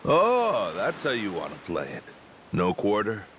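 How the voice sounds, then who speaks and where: A man's voice: lowish voice, huge pitch swings, unhurried, fairly bright tone, slight background noise, heavily acted. A man playing a wry pirate crewman in a video game, casual, slangy and sardonic. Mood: taunting. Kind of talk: video game cutscene acting.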